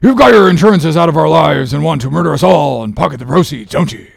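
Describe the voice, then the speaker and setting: A man voicing a loud, mocking sailor mate, full of sarcastic bluster. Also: medium pitch, sing-song, fairly quick, creaky. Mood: indignant.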